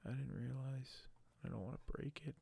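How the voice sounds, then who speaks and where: A man doing ASMR in a soft, hushed, often whispered voice, halting and unhurried as he shows items and reads aloud close to the microphone. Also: medium pitch, creaky, breathy edge. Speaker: ASMR video creator. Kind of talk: ASMR unboxing and reading aloud.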